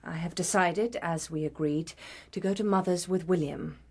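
An actress playing a proud, resolute Victorian wife in a radio drama, with a formal, clipped English delivery. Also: female voice, lowish voice, moderate pace, a little mellow, breathy edge. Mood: cold, resolute. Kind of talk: period radio drama acting.

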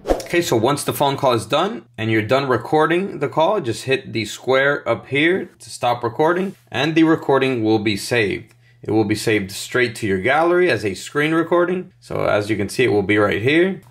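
A man's voice: medium pitch, expressive, moderate pace. A man hosting a tech tutorial, explaining things step by step in a casual way.

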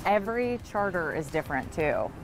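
Young woman with a lowish voice. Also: very animated, normal speed, low-quality recording. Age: young adult. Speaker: charter business co-owner. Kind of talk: casual on-camera interview. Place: outdoors at a marina dock. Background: music.

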